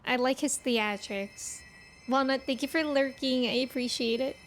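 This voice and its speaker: A woman's voice: sits high, moderate pace, a little hiss or hum. A woman game streamer giving chatty, playful, casual reactions on a livestream.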